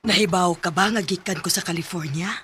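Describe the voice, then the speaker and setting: A woman's voice: fairly low pitch, brisk, a bit bright, a little hiss or hum, lightly acted. A Filipino woman with a Cebuano accent acting a tender young sweetheart who has come home, in a Bisaya radio drama. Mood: playful, fond.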